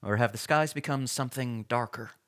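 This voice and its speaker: An actor playing a serious, probing interviewer and narrator in a radio documentary drama. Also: male voice, mid-range voice, fairly quick, a bit bright, lightly acted.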